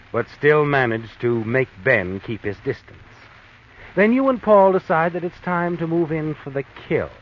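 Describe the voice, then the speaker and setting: An actor playing an omniscient, sinister narrator of a 1940s radio mystery, insinuating and knowing, with dramatic pauses. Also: male voice, fairly high pitch, slight background noise. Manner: insinuating second-person taunting, dramatic pauses. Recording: muffled vintage radio recording.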